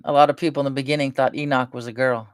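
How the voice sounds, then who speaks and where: A soft-spoken woman chatting casually and rambling between topics on a live stream. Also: very deep voice, steady tone, racing, dry recording, studio quality.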